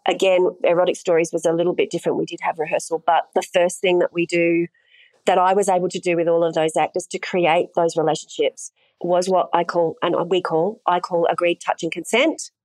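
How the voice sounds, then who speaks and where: A woman's voice: lowish voice, little pitch movement, a little fast, darkish tone, dry recording, rough recording. A woman, an intimacy coordinator, talking through her work frankly in a casual interview and laughing easily.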